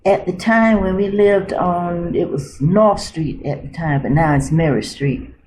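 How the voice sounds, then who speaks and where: A woman in her seventies from Florida, recalling her early life in an oral history interview, slowly and thoughtfully, pausing to search for names. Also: lowish voice, a little mellow, slight background noise, rough recording. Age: 70s. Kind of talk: reminiscing interview. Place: library room.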